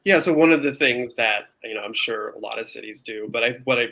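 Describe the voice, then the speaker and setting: Man, interview guest, earnest explanatory delivery, medium pitch, conversational. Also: brisk, gravelly, pushed and bright. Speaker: interview guest, open space campaigner.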